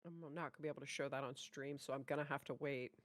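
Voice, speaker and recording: A woman co-hosting a reaction stream in casual banter, expressive and self-deprecating in how she talks. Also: extremely low pitch, rapid-fire.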